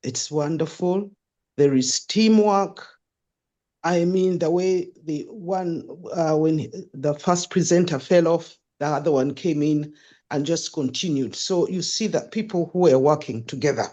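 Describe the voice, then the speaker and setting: A woman's voice: low-pitched, measured pace, warm tone. Ugandan woman giving appreciative feedback. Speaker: audience member giving feedback. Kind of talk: spoken feedback in online class.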